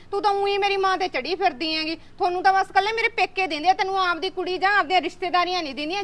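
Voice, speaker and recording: A woman's voice: extremely high pitch, fairly bright tone. A woman playing a young daughter-in-law arguing with her mother-in-law. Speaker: channel host. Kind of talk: amateur short-film acting.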